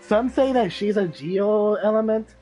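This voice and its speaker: A woman's voice: medium pitch, unhurried, warm tone, faint music behind. A woman giving casual gameplay commentary as a gaming streamer.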